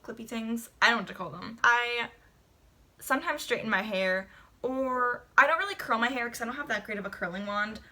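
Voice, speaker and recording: A young woman rambles through a casual get-to-know-me vlog, leaning on lots of fillers. Her voice sits a little high and sounds bright and clear. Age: young adult. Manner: chatty, filler-heavy, rambling. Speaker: vlogger.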